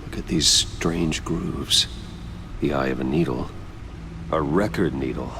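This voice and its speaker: An extremely low, gravelly male vigilante detective. He is brooding, terse and controlled, in cinematic game-drama style, with clear noise behind the voice. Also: unhurried, exaggerated voice. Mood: investigative. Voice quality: very deep, gravelly.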